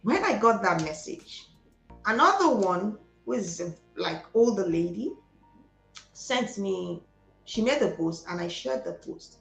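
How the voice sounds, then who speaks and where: Igbo-accented woman, gravelly and emphatic. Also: mid-range voice, very animated, measured pace, a bit bright, echoey room, soft background music, poor audio. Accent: Nigerian Igbo. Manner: emphatic, repeats words for stress, slips into Pidgin. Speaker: psychologist and guest speaker. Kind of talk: motivational conversation.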